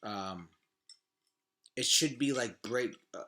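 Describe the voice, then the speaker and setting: Bright, forceful man chatting casually, slangy. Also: medium pitch, slow. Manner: slangy, swearing, opinionated. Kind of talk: casual podcast chat about movies and games.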